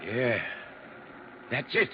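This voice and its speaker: An actor playing a loyal, stubborn truck driver in a 1950s radio drama, plain-spoken and emphatic. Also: male voice, mid-range voice, sing-song, drawn-out words. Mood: anxious. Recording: muffled, very noisy 1950s radio recording.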